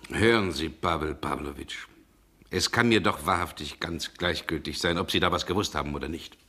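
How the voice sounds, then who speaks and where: An actor playing a guarded, coolly irritated gentleman in a 1960s German radio drama, speaking in a formal, measured and aloof manner. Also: deep voice, full character voice. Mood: irritated, dismissive.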